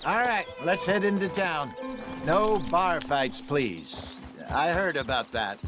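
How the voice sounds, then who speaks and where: An actor playing a folksy, good-humoured older American outlaw hunter in a western video game. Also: male voice, a little high, lively intonation, takes it slowly. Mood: easygoing. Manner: folksy, chuckling storyteller. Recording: game audio with music behind. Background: music, horse hooves and animal sounds.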